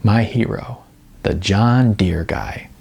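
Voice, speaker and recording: A man's voice: lowish voice, slow pace, gravelly, darkish tone, slightly rough, heavily acted. A man voicing an earnest, frustrated woman, an aspiring actress, in an audiobook. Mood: admiring. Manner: earnest, emphatic. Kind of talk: audiobook character dialogue.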